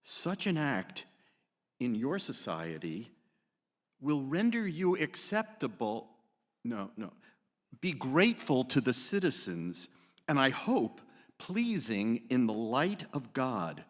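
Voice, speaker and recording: A grave eighteenth-century physician delivers a formal appeal in a dramatic stage reading. His oratory is slow and deliberate with animated rises and falls, ringing through a long hall reverb. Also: mid-range voice. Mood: solemn, entreating. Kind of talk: dramatic live reading of a historical letter.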